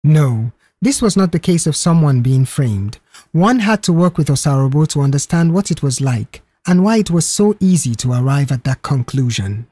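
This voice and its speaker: A storyteller narrating a scripted audio mystery story, expressively, with a husky and mellow voice. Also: mid-range voice, expressive, moderate pace.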